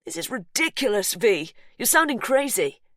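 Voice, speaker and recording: A woman reading an audiobook, voicing a distressed young man who tries to stay calm and reason gently. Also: on the low side, moderate pace, no room sound, clean studio sound.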